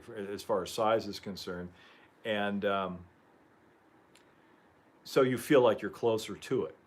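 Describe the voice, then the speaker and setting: A man hosting a casual livestream chat, rambling and chuckling, clearing his throat as he loses his voice. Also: fairly low pitch. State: losing his voice. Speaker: livestream host.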